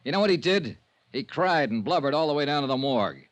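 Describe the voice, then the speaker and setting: A man's voice: fairly high pitch, lively intonation, fast talker, close to an everyday voice. A man playing a tough, wry insurance investigator in 1950s radio style, clipped, dry and sardonic. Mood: brusque, sardonic. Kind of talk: 1950s radio detective acting. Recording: noisy vintage radio recording.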